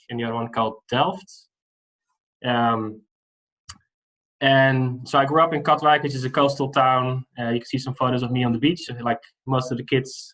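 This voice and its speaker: A man's voice: mid-range voice, low-quality recording. Dutch-accented man giving an online lecture. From Netherlands. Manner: relaxed, hesitant with many fillers. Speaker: guest lecturer. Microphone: video call.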